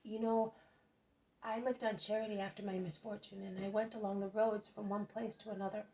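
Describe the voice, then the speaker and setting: A woman's voice: medium pitch, flat delivery, a little fast, mellow, a little hiss or hum, natural voice, poor audio. A woman reading aloud as a grateful male beggar who lives on charity, speaking earnestly.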